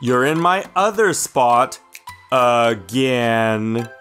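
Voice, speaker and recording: A man's voice: mid-range voice, extremely slow, soft background music. A man voicing a grumpy, dramatic, easily spooked house cat for a children's storybook read-aloud. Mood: exasperated. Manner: deadpan grumbling with melodramatic outbursts.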